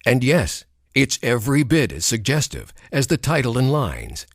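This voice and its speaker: Expressive male documentary narrator, dry studio sound. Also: mid-range voice, normal speed, vocal fry, dry recording. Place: recording studio. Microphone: studio microphone.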